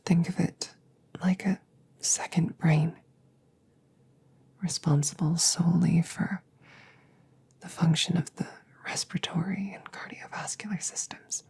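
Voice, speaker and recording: Woman as a whispering, breathy female android soldier. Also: fairly low pitch, steady tone, a little mellow, exaggerated voice. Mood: calm, instructive. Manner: slow, halting, formal. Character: wounded female android soldier, polite, stoic, quietly grieving. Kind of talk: whispered roleplay ASMR audio drama.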